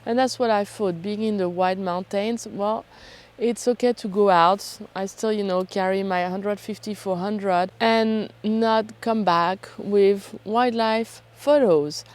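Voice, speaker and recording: A woman, hoarse from a cold, talking casually and chattily to camera as a self-deprecating vlog host. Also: mid-range voice, fairly even intonation, takes it slowly, a little mellow. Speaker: photography vlogger and host.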